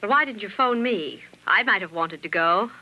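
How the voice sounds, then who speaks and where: An actress playing a jealous, suspicious, insistent wife in theatrical 1940s radio melodrama style. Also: female voice, medium pitch, expressive, normal speed, lo-fi sound, natural voice.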